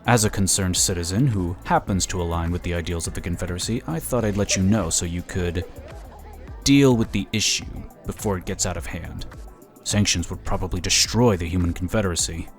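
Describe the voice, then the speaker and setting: An actor playing a slick, smug lobbyist in a sci-fi audio drama, chatty and condescending. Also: male voice, low-pitched, brisk, faint music behind, natural voice. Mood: confiding, scheming. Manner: chatty, smooth-talking, condescending. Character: smug, slick, scheming male political lobbyist.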